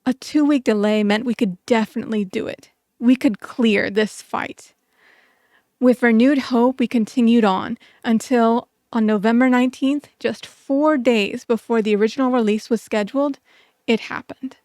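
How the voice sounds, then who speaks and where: A woman's voice: a little high, fairly even intonation, moderate pace, a little mellow, no room sound, studio quality. A woman telling a personal story as scripted video essay narration.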